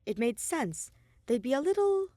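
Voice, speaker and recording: A woman reading scripted fan fiction aloud as an expressive story narrator. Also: high voice, normal speed, dry recording, studio quality. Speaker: fan fiction podcast reader.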